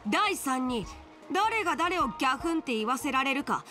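A woman's voice: high-pitched, sing-song, normal speed, sharp tone, faint music behind, exaggerated voice. A woman voicing a cocky teenage boy swordsman in a game, teasing and challenging.

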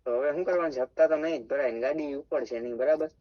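A man's voice: a little high, quick pace, no echo. A man with a Saurashtra Gujarati accent arguing casually on a phone call, stubborn and blunt. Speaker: prank call target, car owner.

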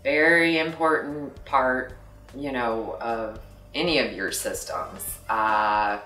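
A woman's voice: extremely low pitch, sharp tone. A middle-aged woman from St. Louis, a customer service rep, talking casually in an office interview with many fillers and small laughs. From St. Louis, Missouri. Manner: halting, many fillers, laughs between thoughts. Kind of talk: casual company profile interview. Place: office room, indoors. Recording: roomy sound from a distant mic, faint music.

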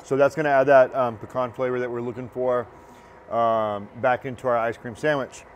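A man, giving a relaxed, encouraging cooking lesson in a large teaching kitchen. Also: mid-range voice, moderate pace, slight background noise. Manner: relaxed, encouraging, talks while working. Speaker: culinary instructor. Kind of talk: cooking demonstration.

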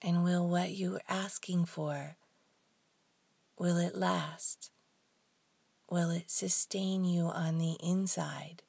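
A woman leading a guided meditation in a soft, hushed, breathy voice, leaving long pauses between short phrases. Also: lowish voice, steady tone, no echo.

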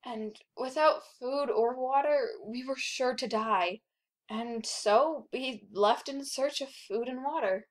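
Grown female wolf narrator for a cartoon , played by a woman: very unhurried, fairly high, measured storytelling. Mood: somber, measured. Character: grown female wolf recalling her orphaned cubhood.

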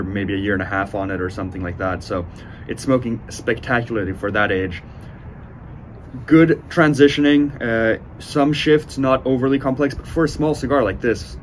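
A man in his twenties giving a casual, unhurried review to camera, speaking with enthusiastic praise. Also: lowish voice. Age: 20s. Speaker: cigar reviewer, host. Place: outdoors on a sunny porch by windows. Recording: outdoor ambient noise.

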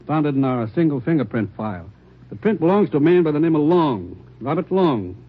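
An actor playing a laconic, matter-of-fact American police lab technician in an old radio drama, on a muffled recording. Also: male voice, a little high, sing-song, brisk, husky. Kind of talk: 1950s radio drama acting.

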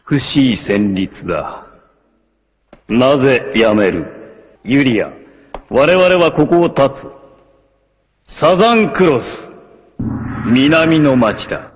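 An actor playing an arrogant, obsessive warlord villain in a 1980s anime, haughty, menacing and theatrical in delivery. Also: male voice, medium pitch, expressive, slow, pauses often, slightly rough, no room sound, muffled recording. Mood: possessive, imperious. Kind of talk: dramatic 1980s anime voice acting.

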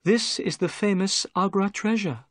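A man voicing a gentle, kind young woman in a read-aloud story. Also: sits high, normal speed, dry recording, clean studio sound, voice pushed up, close to an everyday voice.

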